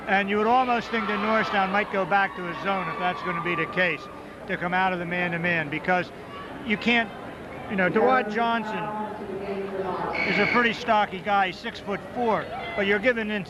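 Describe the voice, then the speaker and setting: A man calling live high school basketball play-by-play in quick, clipped calls, rooting for the home team, with a loud voice. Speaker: play-by-play announcer. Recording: muffled 1990s local broadcast tape, loud gym crowd. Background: crowd noise, ball bounces.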